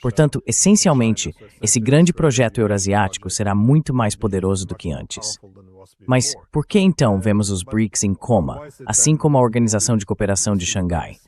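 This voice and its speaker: A man's voice: lowish voice, sing-song, normal speed, dark tone. A man with a Brazilian accent voicing a dubbed political interview as the host.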